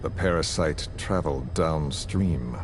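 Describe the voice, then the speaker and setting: A man playing a cold, calculating video game villain who interrogates with measured, theatrical, falsely polite menace. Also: rumbling low, background noise. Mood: measured, sinister. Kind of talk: dramatic video game voice acting.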